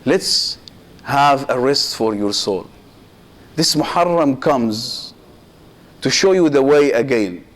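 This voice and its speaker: A man, a sheikh giving a Muharram sermon, speaking in short measured phrases with pauses, addressing listeners directly and dropping Arabic religious phrases into his English. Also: medium pitch, expressive. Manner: addresses listeners directly and asks rhetorical questions.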